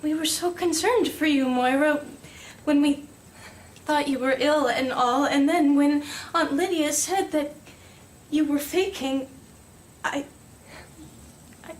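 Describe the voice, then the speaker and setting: An actress playing a meek, eager-to-please young woman in an audio drama, halting and earnest, heard in an echoey room. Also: sits high. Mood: hurt, accusing. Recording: distant, echoey room with noise.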